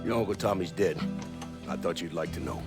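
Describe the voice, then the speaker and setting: An actor playing a blunt, beleaguered, foul-mouthed middle-aged mob boss in a video game cutscene. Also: male voice, low-pitched, expressive, fairly quick, over loud music. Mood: bitter, defeated. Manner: blunt, profane, bossy.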